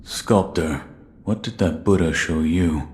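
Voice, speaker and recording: An actor playing a stern, reserved warrior in a video game, speaking tersely and gravely. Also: male voice, low voice, slow, darkish tone. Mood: stoic, pressing. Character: stern, reserved male warrior, stoic and insistent. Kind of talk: dramatic video game voice acting. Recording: long hall-like reverb.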